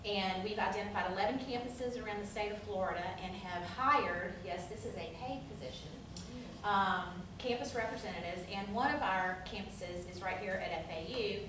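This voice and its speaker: A woman's voice: medium pitch, normal speed, recorded from a distance, noisy background. Southern woman speaking in an echoey classroom. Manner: friendly, chatty, many fillers. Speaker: think tank representative. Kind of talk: informal welcome speech. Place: university classroom. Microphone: far camera.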